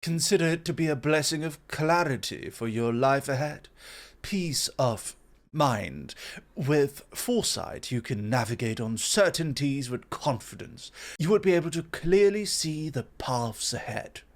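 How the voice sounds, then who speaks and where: A man playing a composed, intellectual god of foresight in an ASMR roleplay, formal, measured and gently superior. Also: on the high side, expressive. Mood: calm, assured.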